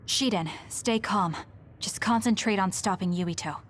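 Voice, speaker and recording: A woman playing a composed, gentle young female officer in an anime-style video game, calm and measured. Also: mid-range voice, a little hiss or hum, natural voice. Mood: calm, firm.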